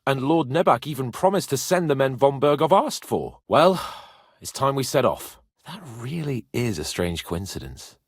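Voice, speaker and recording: A man's voice: a little high, dry recording, studio quality. A man voicing a haughty, hot-tempered young nobleman with an imperious, theatrical manner in a medieval role-playing video game. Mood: brisk, lordly.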